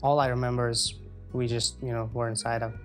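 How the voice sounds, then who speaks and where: Young guy from afghanistan answering interview qs, flat even tone, soft guitar behind. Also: medium pitch. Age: 20s. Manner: earnest, measured, with frequent uh fillers. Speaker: guest.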